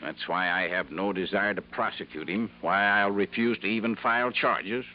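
An actor playing a pompous, indignant company boss in a 1950s radio drama, formal and clipped in manner. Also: male voice, fairly low pitch, steady tone, normal speed, close to an everyday voice. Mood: stiff, insistent. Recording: muffled 1950s radio recording, faint hum.

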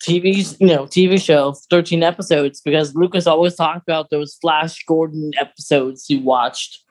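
Podcast host, medium-pitched voice, casual fan discussion, enthusiastic, stop-and-start phrasing. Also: steady tone, moderate pace, darkish tone.

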